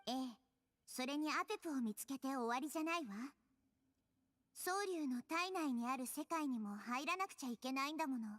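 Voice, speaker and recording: A woman voicing a small, youthful nature goddess in a Japanese video game, wise, gentle and earnest in a measured, persuasive way. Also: high voice. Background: game music.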